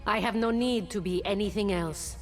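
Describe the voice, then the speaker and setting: A woman playing a fierce, proud huntress and pack mother of a jungle tribe in a video game, speaking with a deliberate, commanding menace. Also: medium pitch, faint music behind, close to an everyday voice. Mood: proud, solemn. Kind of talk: video game character voice lines.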